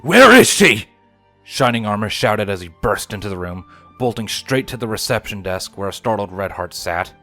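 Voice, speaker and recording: A man's voice: on the low side, normal speed, a bit bright, soft background music. A man reading fan fiction aloud as a scripted story narration.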